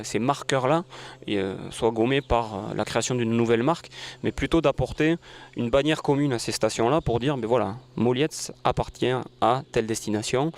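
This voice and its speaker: A man answering in an interview as a director, explaining with hesitations and self-corrections. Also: mid-range voice. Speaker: tourist office director.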